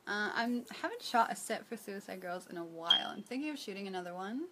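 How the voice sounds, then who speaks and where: A woman's voice: mid-range voice, lively intonation, fast talker, very noisy. A young woman, chatting casually on a phone livestream from a small room and reading viewer comments aloud with frequent giggles. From New Zealand. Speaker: livestream host. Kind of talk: casual live-stream chat answering viewers. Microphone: phone at arm's length.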